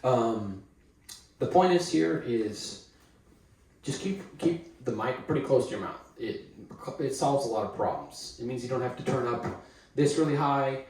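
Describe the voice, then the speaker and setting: A man giving a casual how-to demonstration on audio setup for dance classes, relaxed and rambling with blunt opinions. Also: medium pitch, unhurried. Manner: relaxed, rambling, many fillers and restarts. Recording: echoey room.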